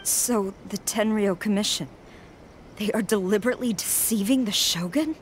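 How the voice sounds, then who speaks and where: A woman's voice: mid-range voice, normal speed, slight background noise, close to an everyday voice. A woman voicing a stern, proud and loyal clan general in a video game, formal, earnest and commanding. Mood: betrayed, outraged.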